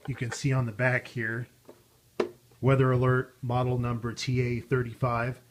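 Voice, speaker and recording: Guy doing a casual show and tell, medium pitch, some background noise and clicks. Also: completely flat delivery, normal speed. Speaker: host of a vintage radio showcase.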